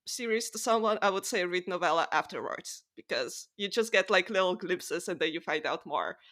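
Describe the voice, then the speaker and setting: A woman's voice: on the low side, animated, moderate pace, fairly bright tone. A woman co-hosting a podcast, talking through books in a casual, opinionated way. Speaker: podcast co-host. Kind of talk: casual book discussion.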